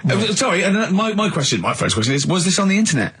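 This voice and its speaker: Lively mocking radio host, man. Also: high voice, very fast. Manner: mocking, bursts into shrieking laughter. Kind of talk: casual radio banter. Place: radio studio. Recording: radio studio with clear background noise.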